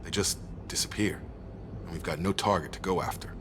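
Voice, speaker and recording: An actor playing a loyal, no-nonsense soldier in a video game, steady and straightforward. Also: male voice, brisk. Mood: steady, earnest. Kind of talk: dramatic video game dialogue. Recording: loud vehicle rumble behind voice.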